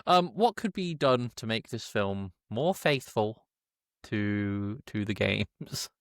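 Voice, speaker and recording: Male podcast host, animated intonation, blunt, joking, sweary, casual film chat, dry close sound. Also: fairly low pitch, slow pace, dry recording.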